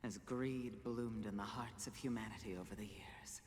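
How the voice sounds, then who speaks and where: An actor playing a weary, solemn warrior who has lost faith, speaking gravely and formally in a game. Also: male voice, fairly low pitch, steady tone, moderate pace, natural voice. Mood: disillusioned, solemn. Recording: long reverb under game music.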